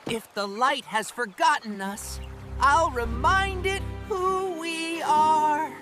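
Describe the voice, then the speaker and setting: Animated hero: woman playing young hopeful rooster, high, unhurried, earnest and dramatic. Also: loud music behind the voice. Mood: determined. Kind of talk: cinematic animated film dialogue.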